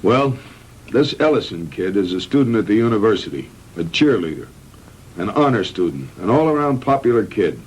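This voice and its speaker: A man playing a calm, frank middle-aged hospital doctor in a measured, matter-of-fact 1960s B-movie style. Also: lowish voice, husky. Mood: grave, recounting. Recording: noisy old 1960s film soundtrack.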